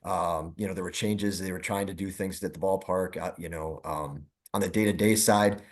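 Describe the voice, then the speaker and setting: A man, a former minor league general manager, reminiscing casually as a guest in a halting, wandering, self-qualifying way. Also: low voice, steady tone.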